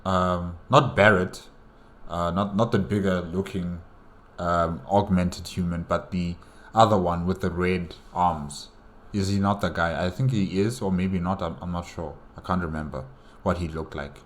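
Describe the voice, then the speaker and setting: A man's voice: deep voice, steady tone, unhurried. A man giving casual reaction commentary, thinking aloud in a hesitant way. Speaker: reaction video host.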